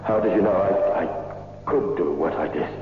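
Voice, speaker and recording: An actor playing a tormented, confused killer in a 1960s radio horror drama, speaking in a halting, brooding way. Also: male voice, sits low, loud music behind the voice, lightly acted. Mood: troubled, hesitant. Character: tormented, confused male killer, easily led. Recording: noisy, muffled 1960s radio recording.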